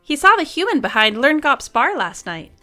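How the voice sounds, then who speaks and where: A perky young alien head in an audio drama , voiced by a woman. The voice is high and bright, with very lively swings in pitch. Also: normal speed, faint music behind, full character voice. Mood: perky, halting. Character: newly differentiating second head of an alien plasmoid, perky. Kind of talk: scripted audio drama dialogue.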